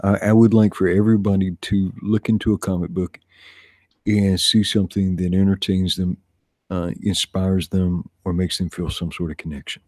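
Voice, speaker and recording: A man in his forties from the rural American South, chatting casually in a rambling, animated way and laughing often. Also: deep voice, normal speed, no room sound, clean studio sound. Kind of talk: casual chat.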